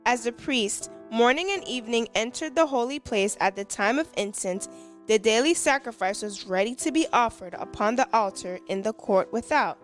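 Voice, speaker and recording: A woman reading a scripted devotional in a measured, reverent manner. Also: fairly high pitch, faint music behind. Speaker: devotional reader and hymn singer.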